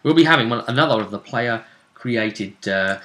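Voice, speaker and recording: Bright-voiced man announcing tabletop game matchups. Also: mid-range voice, expressive, moderate pace, reverberant. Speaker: game master. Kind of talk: casual tabletop game narration.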